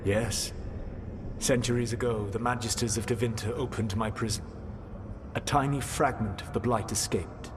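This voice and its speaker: An actor playing an ancient elven god in a video game, speaking in a grave, formal and measured way with a learned air. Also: male voice, fairly low pitch, noisy background, natural voice. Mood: solemn explaining. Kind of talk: dramatic video game dialogue.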